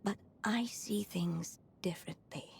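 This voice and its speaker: A woman playing a gentle, wistful female author in a video game, speaking in a soft, measured, breathy way. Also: medium pitch, heavily acted. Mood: wistful, musing. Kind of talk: scripted video game dialogue.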